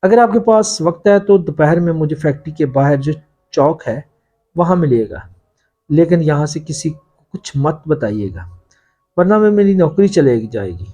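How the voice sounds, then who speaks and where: A man's voice: a little high, animated, normal speed, mellow. A man voicing a long-serving factory worker in a story, an earnest, secretive informant who warns deferentially. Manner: confiding, cautioning, deferential.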